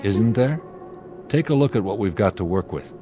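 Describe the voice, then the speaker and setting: An actor playing an authoritative airline captain under pressure, explaining deliberately in a radio drama style. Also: male voice, lowish voice, expressive, warm tone, close to an everyday voice. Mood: measured, grave. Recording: dramatic music bed under the dialogue.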